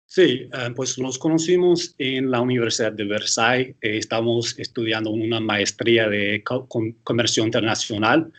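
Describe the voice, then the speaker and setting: A man's voice: mid-range voice, normal speed, hardly any pauses, fairly bright tone. Hesitant American man, speaking Spanish. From United States. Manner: hesitant, self-correcting, with frequent restarts. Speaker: attorney, guest lecturer. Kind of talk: interview answers.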